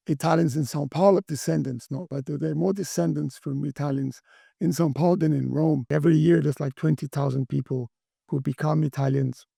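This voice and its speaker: Brazilian guy, middle-aged, really gravelly low-key dark voice, stops and restarts a lot. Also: no room sound, clean studio sound. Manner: halting, many restarts and fillers. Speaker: guest. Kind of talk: interview conversation.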